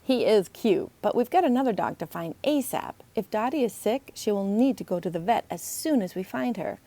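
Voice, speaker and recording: Bossy boy sleuth, read by a woman, self-assured, animated storybook dialogue with a creaky edge. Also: medium pitch, moderate pace, close to an everyday voice. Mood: businesslike. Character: confident, bossy young boy detective.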